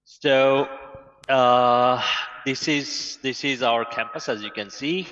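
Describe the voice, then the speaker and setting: A man's voice: medium pitch, slow, long reverb tail, highs cut off. A man from Bangladesh, giving a remote conference presentation over a video call. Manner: steady, plain explaining with occasional "uh". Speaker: guest academic presenter.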